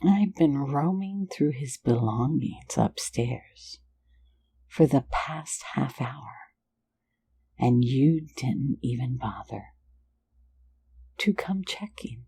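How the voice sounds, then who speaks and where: A woman's voice: extremely low pitch, expressive, slow, pauses often, dark tone, husky, full character voice. A woman voicing a sly, playful phantom thief in an ASMR roleplay, mostly whispering close to the mic in a teasing, soothing way. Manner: teasing, soothing, lulling listener to sleep.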